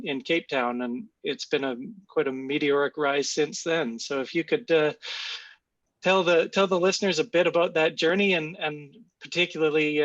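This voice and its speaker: A man's voice: on the high side, a bit bright, no echo. A man hosting a fireside chat, introducing himself and his guest with many hesitations. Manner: hesitant, many uhs and restarts. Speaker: host, government dealmaker. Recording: dull, dry lo-fi sound.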